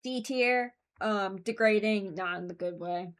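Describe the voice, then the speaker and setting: A woman's voice: medium pitch, a little slow. A woman hosting a ranking video, giving casual, opinionated commentary as she muses aloud. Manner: informal, musing aloud. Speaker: video host ranking voicelines.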